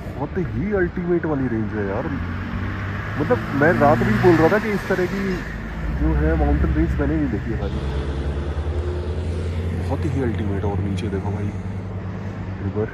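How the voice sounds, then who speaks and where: A man chatting casually and enthusiastically to viewers as a travel vlogger, mixing in English words. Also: fairly high pitch. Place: outdoors on a mountain trip. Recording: outdoor noise with vehicle sounds.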